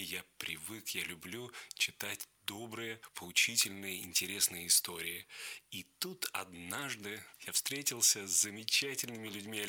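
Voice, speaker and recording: Male radio guest, actor: lowish, very bright voice, heartfelt, earnest delivery. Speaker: actor and audiobook reader.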